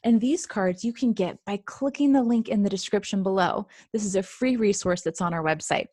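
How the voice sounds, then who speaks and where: A woman presenting a children's read-aloud, explaining things clearly and simply for young listeners as the book's author. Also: medium pitch, a little fast, dark tone, dry recording, clean studio sound. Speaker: presenter, author reading own book.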